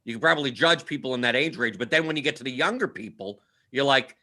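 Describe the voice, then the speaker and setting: Casting: man, early forties, livestream host. Forceful, swooping delivery, repetitive mock-grumbling banter. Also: mid-range voice, lively intonation, fast talker. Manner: self-deprecating mock complaining, repeats himself, tags sentences with "right?". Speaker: show host. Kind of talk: casual livestream sports show banter. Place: indoors at a computer on a split-screen video call.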